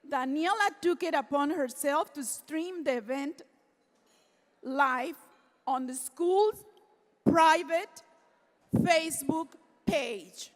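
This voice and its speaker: A woman presenting an award at a formal luncheon, reading prepared remarks in a warm, earnest way. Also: high voice. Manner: reads prepared remarks, grows emotional. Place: large banquet hall. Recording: hall reverb from a PA system.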